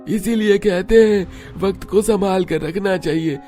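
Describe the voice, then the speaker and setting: A man's voice: high-pitched, a little slow, warm tone, soft background music. A man voicing a chatty, nostalgic old village man in a cartoon story, exclaiming as he reminisces. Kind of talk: Hindi cartoon story acting.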